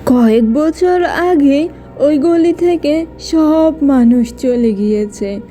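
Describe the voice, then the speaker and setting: Woman as old grandmother, kindly, grave storyteller, cartoon, slow pace, darker tone. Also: high-pitched, mellow, faint music behind. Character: kindly protective old grandmother telling a grim legend. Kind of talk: children's cartoon acting.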